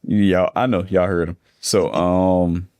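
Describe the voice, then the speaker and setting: A man in his thirties, a funding expert talking casually in a podcast interview, slangy and relaxed. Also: low voice, takes it slowly. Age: 30s. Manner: laid-back slangy talk, calls listeners bro.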